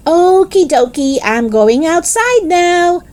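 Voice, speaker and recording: Woman playing an excitable, high-pitched, hollering little girl. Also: slow pace, a little hiss or hum, heavily acted. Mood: excited, hollering. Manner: exuberant, hollering, emphatic.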